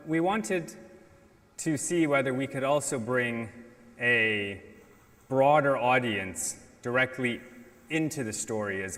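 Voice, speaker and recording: Middle-aged male conference speaker, measured delivery, big echoing auditorium. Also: mid-range voice, fairly bright tone. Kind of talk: prepared stage presentation. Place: large indoor auditorium stage. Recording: reverberant hall, stage talk.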